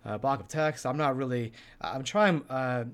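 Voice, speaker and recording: A lazy, unconcerned talker, put on by a man as a lesson example. The words have soft, rounded edges and blend loosely into one slurred block. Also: medium pitch, moderate pace, lightly acted. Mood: lazy, relaxed.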